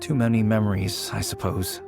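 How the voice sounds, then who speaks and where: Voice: medium pitch, normal speed, gravelly, natural voice. An actor voicing a young man haunted by the loss of a childhood friend, speaking in a hesitant, worried way. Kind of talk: game voice acting. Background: game music with flute.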